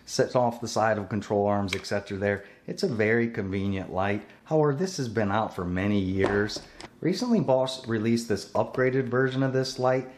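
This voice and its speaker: Lowish-voiced man demoing work lights. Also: a little mellow, slight background noise. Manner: plain-spoken, repeats "et cetera there". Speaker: tool reviewer. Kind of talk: casual hands-on product demo. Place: indoor garage workshop.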